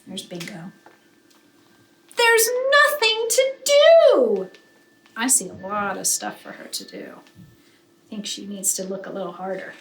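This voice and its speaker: A woman reading a picture book aloud to children like a teacher, with expressive, emphatic narration that draws out key words. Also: medium pitch, slow, gravelly, long pauses. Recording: roomy echo, faint background noise.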